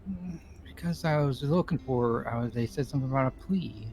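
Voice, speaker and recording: A man's voice: mid-range voice, brisk, close to an everyday voice. A man playing an anxious, hesitant criminal defendant in improvised game roleplay, stammering and repeating his words. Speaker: roleplay streamer playing a character.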